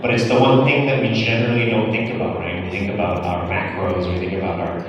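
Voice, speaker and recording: A man's voice: low-pitched, steady tone, fast. A man from Indonesia, a startup founder speaking on a panel, fluent and reflective with many fillers. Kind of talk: panel talk. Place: large event hall. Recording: echoey hall from far off, noisy.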